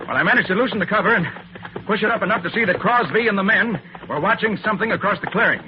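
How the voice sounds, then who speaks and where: A hissy, dull old radio recording carries an actor as a sharp-witted master detective. He is a man with a slightly high voice who talks rapidly and with confidence, laying things out like a seasoned radio-drama lead. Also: close to an everyday voice. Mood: urgent, recounting. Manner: brisk, assured explaining.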